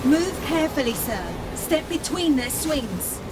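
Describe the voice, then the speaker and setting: A woman playing a polite, alert AI assistant in an action video game, giving crisp instructions. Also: high-pitched, expressive, measured pace, over loud music.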